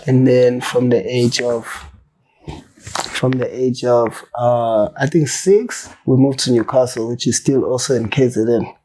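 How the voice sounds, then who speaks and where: Relaxed slangy rapper man, mellow voice. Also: mid-range voice, unhurried, poor audio. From South Africa. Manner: loose, slangy, swears freely, laughs while telling stories. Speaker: rapper, interview guest. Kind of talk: casual interview chat.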